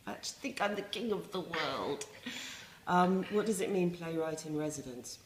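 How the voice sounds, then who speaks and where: A middle-aged English woman, a resident playwright, talking reflectively in a wry, self-mocking way with many pauses and restarts. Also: on the low side, expressive, roomy sound, a little hiss or hum. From England. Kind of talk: reflective interview talk.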